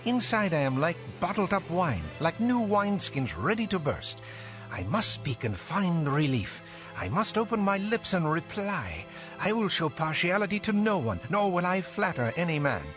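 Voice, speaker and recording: A man's voice: high voice, sing-song, moderate pace. An actor playing an angry, impassioned young man lecturing his elders emphatically in a dramatized audio Bible. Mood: impatient, bursting. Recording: music behind.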